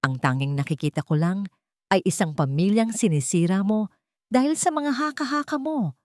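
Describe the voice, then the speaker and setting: A woman's voice: medium pitch, animated, normal speed, a little mellow, no room sound, clean studio sound, close to an everyday voice. Woman doing a weary, cold husband. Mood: weary, exasperated. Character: weary, stern wealthy man, disbelieving husband.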